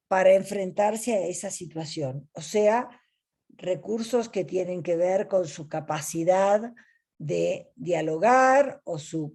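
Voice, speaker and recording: A woman from Argentina with an Argentine accent, leading an online coaching ethics class in a deliberate teaching manner. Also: medium pitch, warm tone. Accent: Argentine Spanish. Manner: slow, deliberate teaching with pauses.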